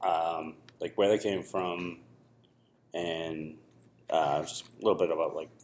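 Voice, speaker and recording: A man hosting a podcast, chatting casually in a halting, hesitant way. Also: low-pitched, fairly even intonation, darkish tone, slight background noise. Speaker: podcast host. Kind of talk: casual chat.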